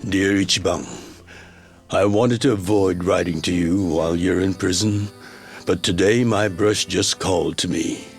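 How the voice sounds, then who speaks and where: A man playing a fatherly older yakuza boss, reading a letter in a measured, tender, wistful way as a game drama voice-over. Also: low voice, fairly even intonation, creaky, faint music behind.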